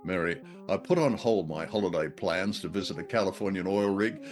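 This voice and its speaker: A man's voice: low voice, brisk, faint music behind. A man co-hosting a radio show, reviewing drama casually in a wry, playful way with wordy turns of phrase.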